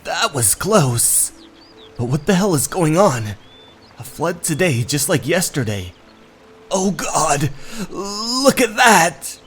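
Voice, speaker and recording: A man's voice: fairly high pitch, very animated, slow pace, a bit bright, pitched above the performer's own voice, full character voice. A man voicing an alarmed, bewildered young male hiker in a horror manga dub, stammering and exclaiming. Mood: panicked. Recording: music under the voice.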